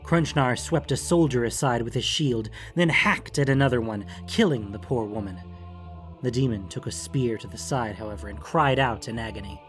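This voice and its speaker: A man's voice: mid-range voice, moderate pace, soft background music. A man reading scripted, dramatic fantasy narration as an audiobook narrator.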